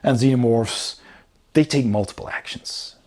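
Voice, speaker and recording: A man with a mid-pitched voice talks through a rulebook as a reviewer. His delivery is casual and explanatory, with an informal, conversational way of putting things. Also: moderate pace, darkish tone. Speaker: board game reviewer.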